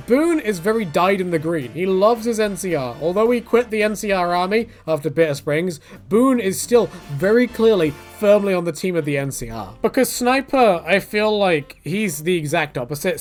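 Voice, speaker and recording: A man with a fairly high voice talks in a lively, swooping way, as if chatting to viewers. He rambles through his opinions in a jokey, casual commentary style. Faint music plays behind him. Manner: animated, conversational, jokey, punctuates talk with mock quotes. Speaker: video creator giving commentary.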